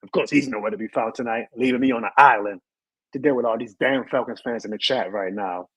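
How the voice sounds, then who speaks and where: A hoarse man who has lost his voice, hosting a live sports show and ranting with emphatic repeated lines. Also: mid-range voice, fast, fairly bright tone, no echo, rough recording. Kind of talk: live sports rant and chat reading.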